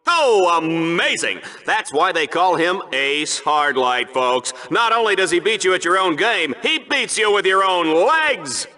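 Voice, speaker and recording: Over-the-top arena commentator, high bright voice, brash, showboating, flippant, punchy video game delivery. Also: male voice, big pitch swings, a little slow, few pauses, full character voice. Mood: hyped, showy. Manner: showy, punchy announcer delivery. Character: brash, excitable male game-show sports announcer, showboating and flippant. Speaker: arena combat show commentator.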